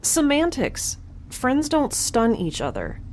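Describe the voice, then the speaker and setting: A woman's voice: high voice, wildly swooping pitch, slow, exaggerated voice. A young woman playing a wry, rebellious scientist in a sci-fi audio drama, talking in a dry, chatty way over background noise. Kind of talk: science fiction audio drama, conversational log.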